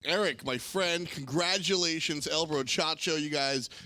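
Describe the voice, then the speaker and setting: A man's voice: on the high side, measured pace, pushed and bright, dry recording. A man hosting an esports broadcast desk, presenting and analysing in an emphatic presenter's manner.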